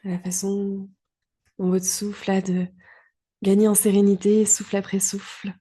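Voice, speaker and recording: A woman guiding a relaxation meditation as a yoga teacher, calm and soothing, in short phrases with long pauses. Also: mid-range voice, breathy edge. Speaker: yoga nidra guide.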